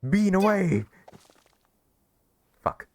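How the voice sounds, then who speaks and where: A man's voice: high voice, sing-song, extremely slow, a little mellow, a little hiss or hum. A man doing casual, jokey let's play commentary, reading game text aloud. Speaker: gaming streamer. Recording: faint game music behind.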